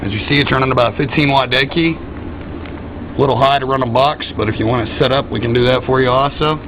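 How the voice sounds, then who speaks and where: A man's voice: medium pitch, fairly quick. A man giving a casual, folksy product demonstration of radio gear, using trucker slang. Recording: muffled, loud noisy radio hiss.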